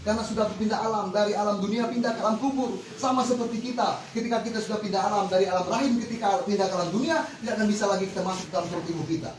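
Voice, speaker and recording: A man's voice: high voice, rapid-fire, few pauses, noisy background. A man preaching a fervent, rhythmic sermon to a congregation, repeating set phrases. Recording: echoey hall recorded from far off.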